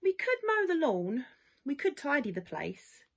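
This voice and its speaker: A woman voicing an eager, adventurous young boy in a children's storybook, in an excited, exclaiming way. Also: high-pitched, measured pace, voice pushed up, heavily acted.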